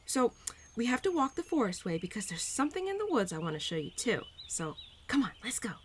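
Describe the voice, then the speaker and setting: Woman acting a bubbly, high-voiced spirit of Spring. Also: very animated, a little fast, slight background noise. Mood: cheerful, affectionate. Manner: gushing, giggly, teasing, intimate. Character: bubbly young female Spring spirit, playful, affectionate, nature-loving. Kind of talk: roleplay audio drama spoken to the listener.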